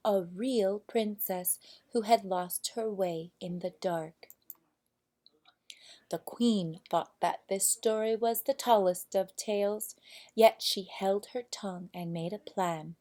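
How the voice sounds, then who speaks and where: A woman narrating a children's fairy tale from a storybook, with deliberate, expressive phrasing. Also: mid-range voice. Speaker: storybook reader.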